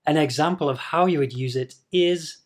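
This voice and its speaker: A man's voice: fairly high pitch, normal speed. A man teaching English pronunciation to camera in a patient video lesson, demonstrating sounds and repeating them.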